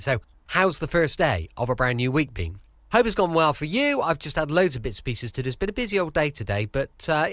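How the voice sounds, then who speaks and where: A man's voice: fairly high pitch, a bit bright, no room sound, clean studio sound. A British man hosting a podcast, chatting casually and informally in a sing-song way. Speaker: podcast host.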